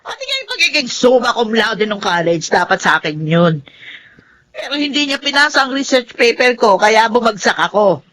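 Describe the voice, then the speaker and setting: A woman plays a spiteful older neighbor who bickers loudly. Her voice is bright and lively, swooping high and low. The tone is a TV drama scene. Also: moderate pace. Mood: resentful. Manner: quarrelsome, loud, bickering. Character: sharp-tongued older woman, spiteful feuding neighbor (Zenaida). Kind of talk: Filipino TV drama acting.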